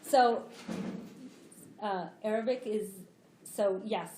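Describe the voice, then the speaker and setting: A woman's voice: medium pitch, takes it slowly. A woman from Tunisia answering on a panel in an earnest, explanatory way, often restarting her sentences. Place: lecture room. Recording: echoey lecture room, faint noise.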